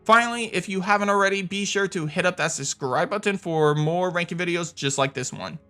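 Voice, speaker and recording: Male countdown host for gaming videos: forceful, bright delivery, enthusiastic, music underneath. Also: high-pitched, a little fast, clean tone. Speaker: video game channel host. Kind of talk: scripted countdown commentary. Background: background music.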